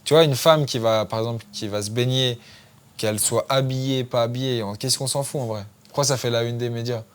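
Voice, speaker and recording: A man's voice: medium pitch, steady tone, brisk, smooth. A man, a guest fighter, talking casually on a studio podcast in a loose, informal way, full of tag phrases. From France.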